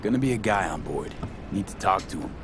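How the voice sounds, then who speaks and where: V, a low-voiced, terse mercenary. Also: male voice, animated, a little fast, over music. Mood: businesslike. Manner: terse, clipped, matter-of-fact. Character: cool, pragmatic street mercenary man. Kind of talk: naturalistic video game acting. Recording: vehicle and ambience noise behind.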